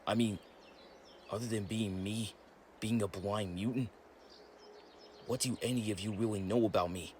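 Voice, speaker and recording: A man's voice: on the low side, fairly even intonation, moderate pace, long pauses, roomy sound, in a large hall, slight background noise, close to an everyday voice. A man voicing a weary, blinded mutant turtle warrior with wry, brooding narration in an amateur comic dub. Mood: doubtful, questioning. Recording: loud film-score music under voice.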